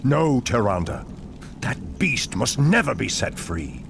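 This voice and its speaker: A man's voice: mid-range voice, sing-song, slow, vocal fry, noisy background, full character voice. A man playing a stern, wise, ancient elven druid in a fantasy video game, grave and authoritative in his formal speech. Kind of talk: video game cutscene acting.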